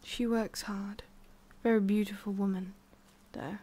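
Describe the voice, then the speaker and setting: A woman playing a centuries-old forest witch in an ASMR roleplay, haughty but secretly soft, speaking in a halting, musing way with a prickly edge. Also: mid-range voice, little pitch movement, close to an everyday voice. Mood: fond, musing. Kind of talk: ASMR roleplay, addressing the listener.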